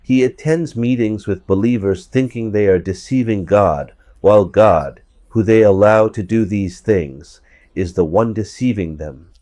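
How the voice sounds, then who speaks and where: A man with a hoarse voice from a cold, giving verse-by-verse scripture commentary and repeating points for emphasis. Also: fairly low pitch, unhurried, dark tone, no echo. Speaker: religious commentator.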